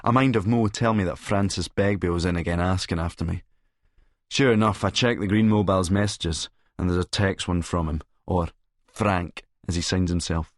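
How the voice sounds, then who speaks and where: A Scottish man reads a first-person story with a sardonic edge. His voice sits fairly low and is recorded close and dry. The delivery is dramatic and sneering. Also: fairly even intonation, moderate pace, dry recording. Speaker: audiobook narrator and actor.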